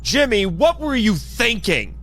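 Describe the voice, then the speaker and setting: A man playing an exasperated, scolding yet contrite team leader in a fan audio drama, speaking expressively and haltingly. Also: sits high. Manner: expressive, sighing, hesitant. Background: background music.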